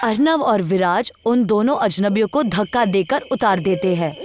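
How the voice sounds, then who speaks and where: A woman's voice: mid-range voice, animated, normal speed. A woman narrating a scripted horror story. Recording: music under the voice.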